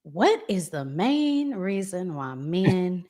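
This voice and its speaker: A woman's voice: on the low side, big pitch swings, takes it slowly, mellow. A woman with an American accent hosting a casual relationship talk show in a conversational way.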